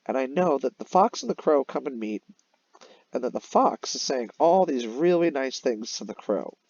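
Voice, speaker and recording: A man's voice: mid-range voice, very animated, moderate pace, a little mellow, dry recording, lo-fi sound. A man teaching young children in an explanatory way, as in a recorded read-aloud lesson. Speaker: elementary teacher. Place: voice-over on a screen-recorded slide lesson.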